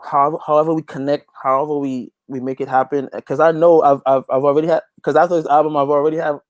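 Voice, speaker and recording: A man's voice: fairly high pitch, level tone, quick pace, no echo. A man in a casual interview, speaking as a guest musician and repeating phrases emphatically as he builds a point. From Guyana. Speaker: interview guest, gospel reggae artist.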